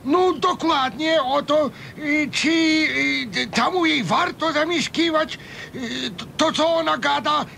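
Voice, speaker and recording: Squeaky, cranky old Podlasie villager. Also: male voice, vocal fry, sharp tone, exaggerated voice. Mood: cranky, stubborn. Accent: eastern Polish Podlasie dialect. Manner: halting, querulous, rustic. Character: cranky old male village neighbour, stubborn, misogynist. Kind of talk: radio serial comedy acting. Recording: faint noise, low rumble.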